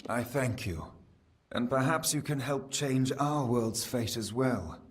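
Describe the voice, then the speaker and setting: A man's voice: mid-range voice, takes it slowly, natural voice. An actor playing a solemn, ancient fae king in a fantasy game, speaking in a formal, portentous manner. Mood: grave counsel. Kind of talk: scripted fantasy game acting.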